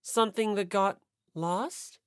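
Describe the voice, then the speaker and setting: A woman voicing a cool, analytical teenage girl detective in an audiobook, with a cold, level-headed monotone. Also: medium pitch, slow, clean tone, dry recording, clean studio sound, voice pushed up, natural voice. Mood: cool, detached. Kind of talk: audiobook character voice.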